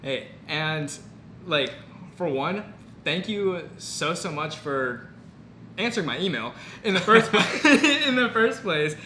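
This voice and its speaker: A man's voice: a little high, big pitch swings, takes it slowly, bright, forceful tone. A young man, a medical student vlogger, hosting a casual interview and sounding eager and a little nervous. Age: young adult. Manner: eager, slightly nervous, uses filler words. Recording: echoey room with faint noise.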